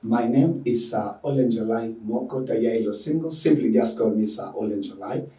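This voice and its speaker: A man's voice: medium pitch, normal speed, dark tone, echoey room, far from the mic. A man from Tanzania giving an effusive, filler-heavy welcome as an online lecturer. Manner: effusive, repetitive, with frequent fillers. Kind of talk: lecture introduction.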